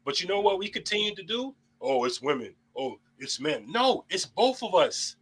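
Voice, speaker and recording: A young man hosts a panel debate with a high voice and a bright, forceful edge. He holds forth bluntly and sermonizes with plenty of swearing. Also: big pitch swings, moderate pace, slightly rough. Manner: blunt, heavy swearing, preachy. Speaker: host.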